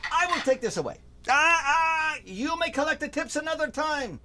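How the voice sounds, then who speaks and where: Pompous, high-pitched, swooping comic schemer. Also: male voice, lively intonation, normal speed, background noise. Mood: pompous, breezy. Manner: flowery, grandiose, mangled English with malapropisms. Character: pompous, money-grubbing, over-eager foreign male fixer, comic schemer. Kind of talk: 1950s radio drama acting.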